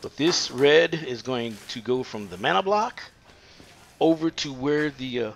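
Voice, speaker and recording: A man working as a plumber, explaining a pipe installation in an unfinished house, pausing often with fillers. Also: mid-range voice, animated, gravelly, a little hiss or hum. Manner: halting, many "uh" fillers. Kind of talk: hands-on how-to explanation. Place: unfinished house under construction, indoors.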